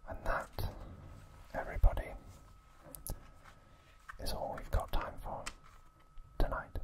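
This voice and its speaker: Guy whispering asmr narration, really slow n careful, soft breathy little phrases. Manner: slow, deliberate, short gentle phrases. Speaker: ASMR channel host.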